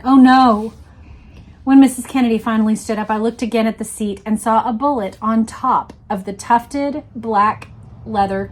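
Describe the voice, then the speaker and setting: Woman reading a memoir aloud, expressively. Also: medium pitch. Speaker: reader of a book aloud. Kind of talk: expressive read-aloud of a first-person memoir.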